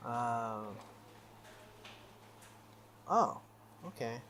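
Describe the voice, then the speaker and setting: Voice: mid-range voice, expressive, very slow, fairly bright tone, a little hiss or hum. A game playthrough host casually commenting on a visual novel while reading it aloud, stumbling over and puzzling at unfamiliar words.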